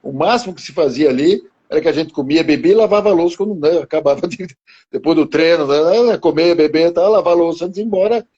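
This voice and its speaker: A man in his seventies reminiscing slowly in conversation, halting and restarting his phrases. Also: a little high, dark tone, muffled recording. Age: 70s. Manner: slow, halting, with many restarts. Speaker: guest martial arts teacher.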